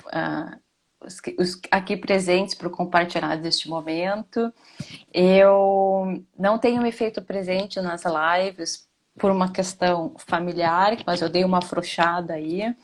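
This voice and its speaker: A woman's voice: on the low side, steady tone, takes it slowly. A woman teacher with a Rio Grande do Sul accent, chatting casually on a video call with frequent hesitant restarts. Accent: Rio Grande do Sul Portuguese. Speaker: administration teacher. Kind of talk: casual live class chat.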